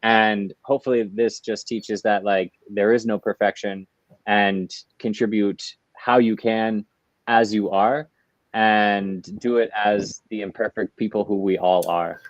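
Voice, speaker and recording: A man's voice: fairly low pitch, fairly even intonation, measured pace, no room sound. A man from the United States, a guest in a podcast interview, talking in a reflective, easygoing way. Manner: reflective, easygoing, laughs often. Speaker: guest, artist and entrepreneur. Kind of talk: interview conversation. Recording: dull, dry lo-fi remote audio.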